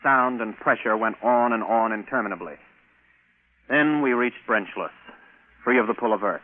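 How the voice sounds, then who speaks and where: A man's voice: fairly low pitch, moderate pace, close to an everyday voice. A man playing an eager, easily flustered young rocket pilot captain in a 1950s radio drama, wry and hesitant. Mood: wry narrating. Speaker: narrator and lead actor. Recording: noisy, tinny vintage radio broadcast.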